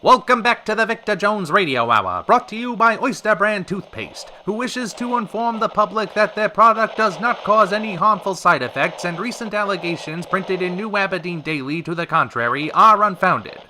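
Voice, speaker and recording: A man's voice: sits high, lively intonation, moderate pace, few pauses, background noise. A man playing a wry, chivalrous radio talk show host in a polished, theatrical old-time style. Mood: showman-like.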